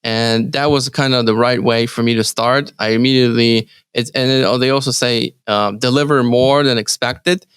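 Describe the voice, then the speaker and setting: A man from Ukraine speaking English as his second language with a Ukrainian accent, answering interview questions in a halting way with many restarts and fillers. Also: mid-range voice, steady tone, fairly bright tone, clear, resonant tone, no room sound. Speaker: real estate team leader, guest.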